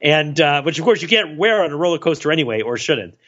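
Male podcast co-host, forceful bright tone, wry and wordy, slightly muffled lo-fi track. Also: a little high, very animated, fast. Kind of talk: casual podcast chat, storytelling. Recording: dull, lo-fi voice track.

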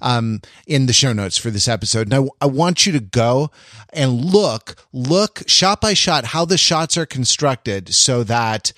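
Man, medium pitch, podcast host, halting deliberate phrasing, dry close sound. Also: expressive, a bit bright, no echo. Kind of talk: casual pop culture discussion.

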